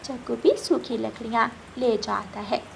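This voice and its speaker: A woman's voice: on the high side, steady tone, measured pace, vocal fry, slight background noise, rough recording. A woman reading a scripted children's story as its narrator.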